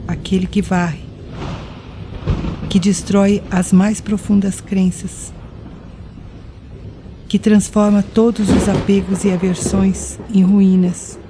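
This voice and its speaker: A Brazilian woman narrating a guided meditation in a deliberate, soothing way, leaving pauses between phrases. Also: mid-range voice, noisy background. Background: music with rain and thunder sounds.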